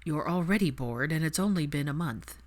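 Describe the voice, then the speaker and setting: A woman voicing a terse, teasing grown man in an audiobook, with a clipped, deadpan delivery. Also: low-pitched, brisk, no echo. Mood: dry teasing.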